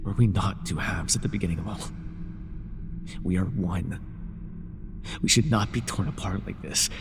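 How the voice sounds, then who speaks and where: A man's voice: deep voice, normal speed, creaky. A man voicing a forsaken god who pleads in archaic words, in a fantasy audio roleplay. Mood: pleading, wounded. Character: forsaken male god, pleading with his brother. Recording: long reverb over noisy ambience.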